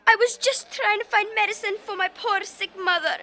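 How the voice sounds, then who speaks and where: A woman's voice: normal speed, slight background noise. A woman playing a feisty, insolent young orphan girl thief in an audio drama. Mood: cheeky pleading. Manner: shrill, loud, insolent.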